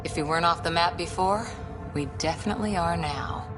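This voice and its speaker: A woman's voice: fairly low pitch, fairly quick, close to an everyday voice. A woman playing a thoughtful, supportive space expedition officer in a video game cutscene. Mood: reflective. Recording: music behind the voice.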